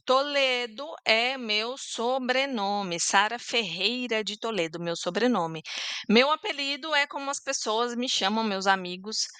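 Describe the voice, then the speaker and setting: A Brazilian woman teaching Portuguese in a chatty, patient way, explaining words slowly and stopping to check facts. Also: fairly high pitch, sharp tone, smooth, dry recording. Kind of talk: casual language lesson to camera.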